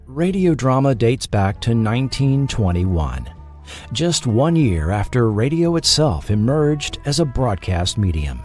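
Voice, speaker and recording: An American man hosting a podcast with scripted documentary narration, deliberate and dramatic at the ends of phrases. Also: mid-range voice, big pitch swings, darkish tone, soft background music. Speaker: podcast host.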